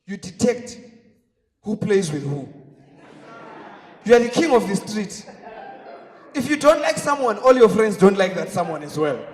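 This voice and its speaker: A young man from Zimbabwe doing a stand-up comedy set on stage, telling stories with big shouted punchlines. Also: extremely high pitch. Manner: animated storytelling, shouting punchlines. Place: theater stage. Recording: echoey hall from far off. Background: audience laughter.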